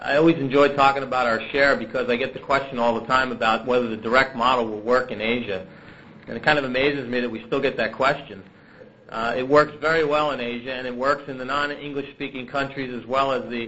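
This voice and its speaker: A male company executive giving a prepared business presentation on a conference call, in a measured, businesslike way. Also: mid-range voice, fairly bright tone, muffled recording.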